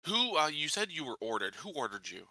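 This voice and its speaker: A man's voice: on the high side, very animated, fairly quick, bright, forceful tone, no echo, low-quality recording. A man playing a tabletop roleplaying game, improvising questions in character and chatting with the table.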